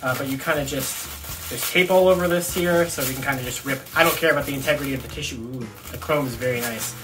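A man's voice: medium pitch, fast talker, noisy background. A young man giving a casual, enthusiastic unboxing review to camera in an informal, chatty way. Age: young adult. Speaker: toy review vlogger. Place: small dorm bedroom, indoors.

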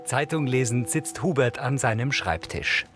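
A man reading scripted scene narration for an audio description, in a neutral, measured way. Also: mid-range voice, animated, fairly bright tone, soft background music.

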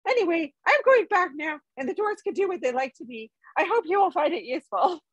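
A woman reading a storybook, playing a small, fussy, polite male hobbit, nervous but plucky, with a squeaky voice and chatty, hedging manner. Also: expressive, a little fast, no room sound. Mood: nervous, sincere.

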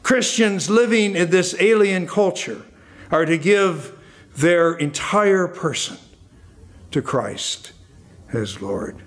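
Older American male pastor for a sermon, fairly high-pitched, very unhurried, weighing and stressing each phrase. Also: expressive. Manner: deliberate, emphatic phrasing with pauses. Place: indoors at a church lectern.

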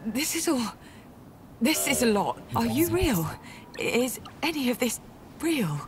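An actress playing a bewildered, hesitant young woman in a video game, speaking in a halting, questioning way. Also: fairly high pitch, very animated, background noise. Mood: confused, overwhelmed. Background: prominent music.

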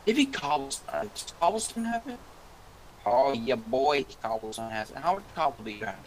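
A man's voice: mid-range voice, very animated, normal speed, slight background noise, poor audio. A man co-hosting a gaming video, joking with a friend and whispering to himself as he crafts. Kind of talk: casual gaming chat.

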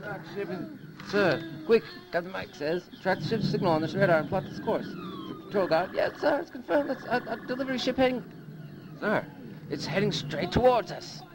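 A man's voice: sits high, lively intonation, normal speed, noisy background, voice pushed up. A man voicing an anxious prison guard on a space colony, urgently barking orders. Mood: urgent, alarmed.

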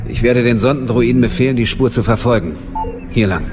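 A man's voice: medium pitch, brisk, noisy background, lightly acted. A man playing a businesslike military investigator in an audio drama, reporting methodically and matter-of-factly. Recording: loud music behind the voice.

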